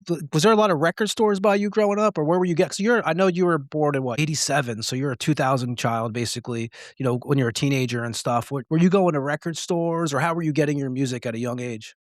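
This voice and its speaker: A man's voice: fairly high pitch, quick pace, hardly any pauses, no room sound, clean studio sound. A man hosting a podcast, asking long, rambling questions full of fillers. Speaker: podcast host. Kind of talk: casual interview questions.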